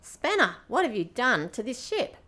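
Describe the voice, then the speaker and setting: A woman playing a brash, excitable spaceship adventurer in an amateur radio play. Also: fairly high pitch, normal speed, exaggerated voice. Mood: alarmed.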